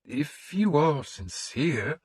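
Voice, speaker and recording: A man voicing a fussy, faint-hearted village priest who speaks slowly and hesitantly in a raised, husky voice. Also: on the high side, big pitch swings, darkish tone, no echo, clean studio sound, pitched above the performer's own voice, exaggerated voice. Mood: wary. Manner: slow, hesitant, lifted and pleading.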